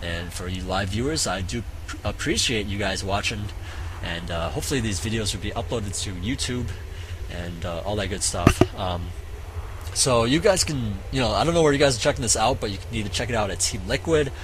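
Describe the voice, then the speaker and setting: A man casually commentating a strategy game match, halting with many fillers, his voice going hoarse. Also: fairly low pitch, expressive, noisy background. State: voice getting hoarse. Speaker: game caster.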